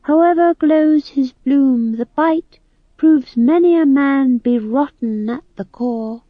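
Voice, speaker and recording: A man's voice: extremely high pitch, takes it slowly, no echo, pitched above the performer's own voice. A man reading an audiobook, voicing a small boy who recites solemn verse like a grand old actor. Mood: solemn declaiming. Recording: dull band-limited audio.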